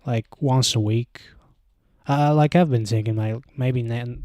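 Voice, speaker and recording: A man's voice: medium pitch, measured pace, mellow, no echo, clean studio sound. A young man with a Bulgarian accent, chatting on a casual live stream, rambling and hesitant, with lots of fillers, into a handheld mic at home. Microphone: handheld microphone close to mouth.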